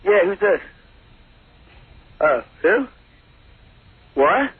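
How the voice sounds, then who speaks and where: A noisy, tinny phone line carries a high-pitched man with a gravelly creak. He rambles casually in slang, halting and evasive as he answers. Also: huge pitch swings, no room sound. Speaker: phone-in guest.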